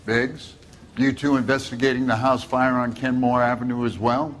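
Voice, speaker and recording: A man plays a methodical fire investigator in a detective game. His medium-pitched voice gives facts in clipped, no-nonsense phrases, with faint noise around it. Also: flat delivery, normal speed, lightly acted. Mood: matter-of-fact. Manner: factual, clipped reporting. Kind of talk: video game cutscene acting.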